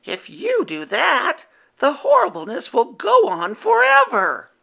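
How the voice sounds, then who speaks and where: A storyteller voicing Howler, a kind young male werewolf with a high voice, gentle and reassuring with the little monsters in a storybook. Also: huge pitch swings, measured pace, gravelly, heavily acted. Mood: firm. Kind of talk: storybook reading.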